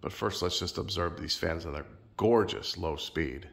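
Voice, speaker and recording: A man filming a casual home vlog in his sunroom, a little nasal and stuffed up from a sinus infection, talking in a relaxed, rambling, friendly way. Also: low voice, moderate pace, creaky. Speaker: ceiling fan collector vlogger. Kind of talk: casual show-and-tell vlog.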